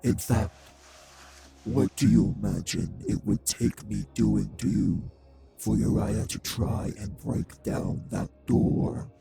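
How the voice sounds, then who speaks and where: A cruel vampire man, as a male actor plays him in an audio roleplay, taunting with polite menace. His voice is dark and heavily fried, husky at the edges, and moves slowly over a light music bed. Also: lowish voice, steady tone, exaggerated voice. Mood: quiet threat. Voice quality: husky, gravelly, breathy. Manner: slow, deliberate, mockingly polite. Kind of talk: scripted audio roleplay drama.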